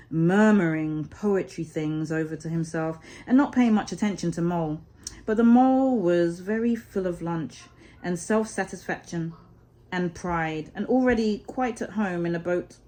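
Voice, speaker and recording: Expressive woman reading a storybook aloud. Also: medium pitch.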